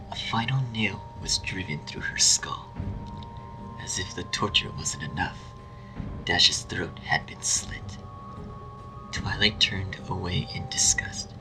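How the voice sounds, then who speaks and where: A man narrating a scripted horror story in a hushed, breathy voice. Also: sits low, poor audio. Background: music.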